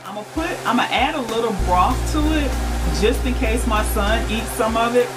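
A woman's voice: on the high side, brisk, over loud music. A woman in her forties chatting casually while she cooks in a home kitchen, rambling with fillers and restarts. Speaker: cooking vlogger. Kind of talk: casual cooking chat.

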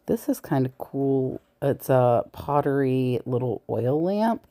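Woman rambling to viewers while she thrifts, soft mellow voice, store aisles, now and then whispers. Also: a bass voice, lively intonation, slow. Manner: chatty, talks to viewers while browsing, sometimes drops to a whisper. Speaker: thrift reseller vlogger. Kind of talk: casual shop-with-me narration. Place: large thrift store, indoors. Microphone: body-worn camera mic, point of view.

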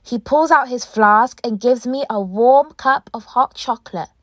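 A woman reading a children's picture book aloud with expressive, dramatic storytelling delivery. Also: fairly high pitch, measured pace. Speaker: storybook reader.

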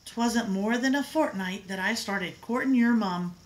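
A woman's voice: medium pitch, a little slow, natural voice, poor audio. A woman voicing a middle-aged father fondly telling an old family story, in a folksy, lilting way.

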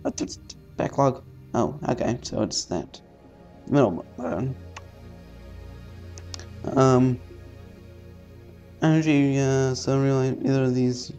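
A man playing a visual novel, reading its text aloud haltingly with casual, sweary commentary. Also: mid-range voice, warm tone. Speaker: let's-player. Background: faint game music.

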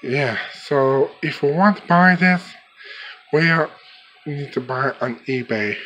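Slow, halting man over background noise. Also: a little high, sharp tone. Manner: halting, repetitive, plain-spoken. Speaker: vlogger showing a weather radio collection. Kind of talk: casual product showcase. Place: small cluttered bedroom. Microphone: handheld camera held by the speaker. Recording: noisy handheld camera audio.

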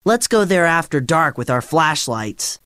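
A man playing a friendly, slightly nervous teenage boy in audiobook dialogue, earnest and animated. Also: a little high, a little slow, clear, resonant tone, no echo, studio quality, pitched above the performer's own voice, exaggerated voice. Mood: keen.

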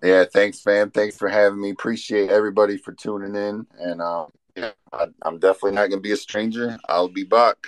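Male rapper being interviewed. Fairly deep voice, informal and slangy, conversational. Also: flat delivery, fairly quick, hardly any pauses, dry recording. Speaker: rapper guest. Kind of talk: casual interview chat. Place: live online audio room, voice only.

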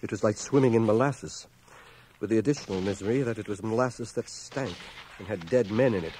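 An actor playing a war veteran who soberly recounts his battle memories in measured, articulate, literary storytelling for a radio drama. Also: fairly low pitch, mellow, natural voice. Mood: grave, tense. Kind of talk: dramatized first-person narration, radio drama. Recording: noisy cassette of 1980 radio drama.

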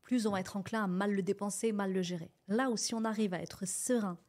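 A woman's voice: mid-range voice, fairly even intonation, brisk, a little mellow. A woman hosting a podcast, asking questions and encouraging her guest with frequent murmurs of agreement. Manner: encouraging, frequent listening sounds. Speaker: podcast host. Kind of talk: casual podcast interview.

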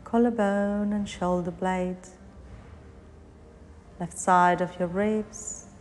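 Woman doing guided yoga meditation, super slow w/ pauses, big echoey reverb, bit of background noise. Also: fairly low pitch, steady tone, darkish tone. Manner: unhurried, pausing between short phrases. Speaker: yoga nidra meditation teacher. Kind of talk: guided meditation, body scan narration. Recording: long hall reverb, faint background noise.

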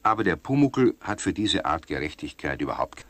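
A deep-voiced storyteller narrates a children's tale in a radio play. The sound is close and dry, the delivery that of scripted narration. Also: male voice, big pitch swings, brisk, a bit bright, dry recording, full character voice. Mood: engaged.

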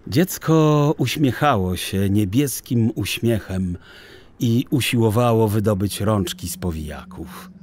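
A man narrating a children's audiobook in a theatrical, deliberate storytelling style. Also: fairly low pitch, expressive, a little mellow.

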